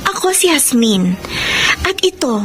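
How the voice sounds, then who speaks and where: An actress playing a dutiful, selfless young granddaughter in an emotional radio drama, speaking earnestly and respectfully. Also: female voice, sits high, big pitch swings, measured pace, over loud music. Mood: content, reflective.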